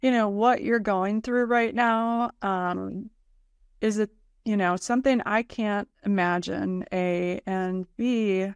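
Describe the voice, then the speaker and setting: Middle-aged woman podcast host, creaky clear voice. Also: medium pitch, steady tone, slow pace, no echo, studio quality. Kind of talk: conversational interview. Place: indoor room, video call. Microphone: desk microphone, close.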